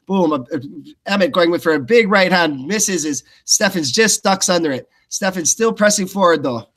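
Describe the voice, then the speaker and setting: A man's voice: high voice, expressive, moderate pace, a bit bright. A man doing live blow-by-blow fight commentary in short, clipped phrases.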